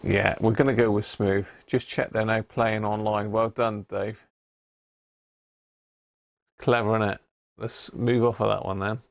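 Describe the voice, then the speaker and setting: A man's voice: fairly low pitch, flat delivery, a little slow, vocal fry, pauses often. A British man hosting a casual livestream, talking informally to viewers and thinking aloud with frequent tag questions. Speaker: livestream host.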